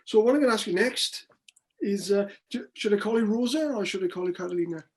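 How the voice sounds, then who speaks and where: A man's voice: high-pitched, animated, quick pace, a little mellow. An older man interviewing in a casual, chatty style, with a rambling, inquisitive manner.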